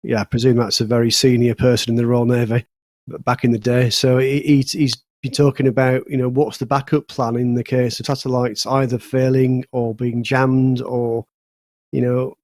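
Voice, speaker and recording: A man's voice: medium pitch, flat delivery, moderate pace, darkish tone, no echo. A British man on a podcast panel talking through news in a casual, rambling, explanatory way.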